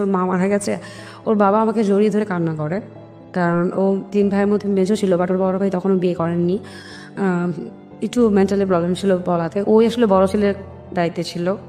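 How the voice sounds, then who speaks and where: A Bangladeshi woman recounts her own story in an interview, voicing the dialogue as she goes. Her speech is quick and mid-pitched, with some English mixed in. The recording has a long hall-like reverb with music underneath. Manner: animated retelling with quoted family dialogue, English words mixed in. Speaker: guest telling her life story.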